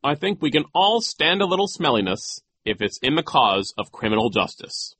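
A stuffy, pompous storybook gentleman performed by a male reader. He is condescending and sternly reproving, with a raised, lively pitch and a bright, forceful tone. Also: normal speed, husky, no room sound, full character voice. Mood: stern, patronizing. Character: stuffy, condescending adult man scolding children.

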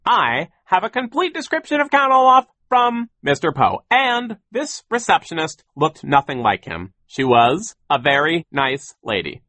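A bossy, short-tempered mill boss from a storybook. He barks and dismisses with heavy emphasis, his pitch leaping up and swooping around. The sound is dry and close. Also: big pitch swings, measured pace, hardly any pauses, pushed and bright, dry recording, full character voice. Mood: impatient. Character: gruff, bossy, impatient male lumber mill boss.

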